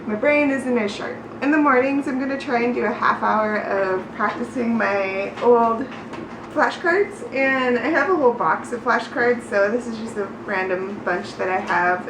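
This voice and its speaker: Higher-voiced woman chatting in an echoey, noisy room. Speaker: vlogger learning a language. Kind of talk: casual study vlog chat. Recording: roomy echo, clear background noise.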